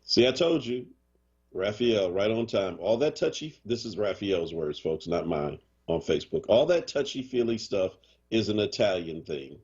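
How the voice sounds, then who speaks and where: A man's voice: on the low side, moderate pace, slightly rough, muffled recording. A man hosting a morning radio show in casual chat, joking and teasing, with a Boston accent. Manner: animated, joking, does mock voices. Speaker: radio host.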